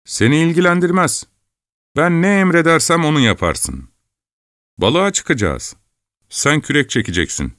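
A man voicing a rough, menacing vagabond fisherman who gives curt, harsh commands. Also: a little high, sing-song, moderate pace, pauses often, a bit bright, dry recording, studio quality, voice pushed up. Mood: commanding.

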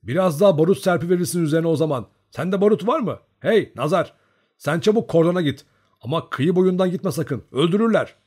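A man voicing a gruff, bossy, excitable Cossack comrade in an audiobook story, scolding and insisting. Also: on the high side, moderate pace, voice pushed up.

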